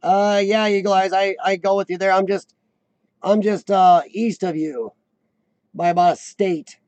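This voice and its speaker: A man in his forties hosting a casual live chat, with a high-pitched squeaky voice worn by coughing, who often clears his throat and restarts his sentences. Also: steady tone, measured pace, darkish tone, dry recording. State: voice worn from lung illness and coughing. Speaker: host of a live chat show. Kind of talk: casual live-stream chat reading viewer comments.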